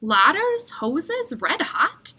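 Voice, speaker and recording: A woman's voice: extremely high pitch, very animated, extremely slow, bright, forceful tone, voice pushed up, exaggerated voice. A woman voicing an eager, curious young boy in a storybook read-aloud. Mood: puzzled, eager. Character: young birthday boy narrating his adventure, eager and curious.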